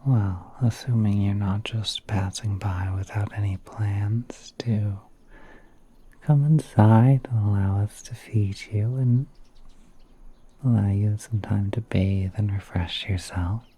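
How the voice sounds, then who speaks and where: A gentle spider prince, acted by a man, speaks in a mellow, breathy half-whisper. He is slow, courteous and a little hesitant, as in an intimate ASMR roleplay. Noticeable background noise sits behind the voice. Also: on the low side, fairly even intonation. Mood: hospitable, reassuring. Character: gentle, courteous spider prince soothing a guest in his garden.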